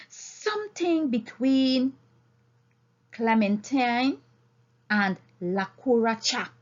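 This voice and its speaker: A woman's voice: a little high, lo-fi sound. A woman reading scripted literary narration as an audiobook reader.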